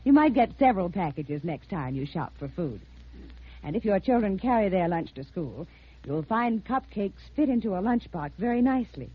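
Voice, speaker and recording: A woman's voice: medium pitch, sing-song, moderate pace, breathy edge. An American woman reading a 1950s radio advertisement as a home economist, formal, carefully enunciated and instructive. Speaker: home economist giving a sponsor message. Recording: muffled 1950s radio recording.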